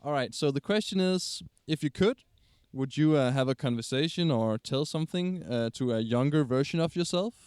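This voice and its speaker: A man's voice: a little high, normal speed, hardly any pauses, a little mellow, smooth, dry recording, studio quality. A man hosting a casual street interview, reacting with enthusiasm and laughing along.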